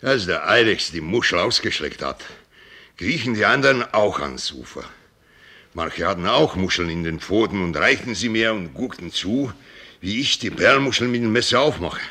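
Gravelly old sea captain. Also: male voice, fairly low pitch, lively intonation, moderate pace, bright, forceful tone, heavily acted. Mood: animated storytelling. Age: older. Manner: rambling, folksy storyteller, sprinkles in English words. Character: old sea captain, boisterous, tipsy, sentimental, shrewd. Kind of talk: radio play acting.

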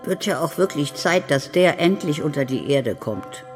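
A woman's voice: sits low, expressive, normal speed, faint music behind. An older woman playing a dying grandmother in a radio play, blunt, wry, feisty and plain-spoken.

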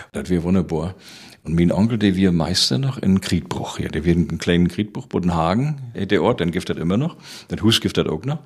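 Deep, gravelly northern German man. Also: a little mellow. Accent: northern German, Low German. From island of Rügen, northern Germany. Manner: vivid anecdotal telling, acts out quotes. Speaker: playwright and storyteller, interview guest. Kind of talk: conversational storytelling in an interview.

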